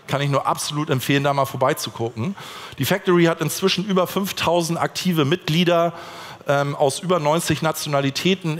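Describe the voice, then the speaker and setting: A man in his thirties gives a keynote talk while moving around a conference stage, and he speaks casually with many fillers and restarts. His voice sits a bit high for a man. It is picked up by a body mic and carries a long reverb from the large hall. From Hamburg, Germany. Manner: conversational, frequent fillers and restarts; gestures while walking the stage.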